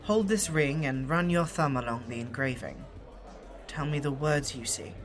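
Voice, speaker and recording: A resolute exiled shifter, voiced by a woman, who speaks in measured, formal sentences. Her voice sits fairly low. Music runs behind her in this audio drama. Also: expressive, a crowd in the background. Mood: calm. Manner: formal and measured, avoids contractions.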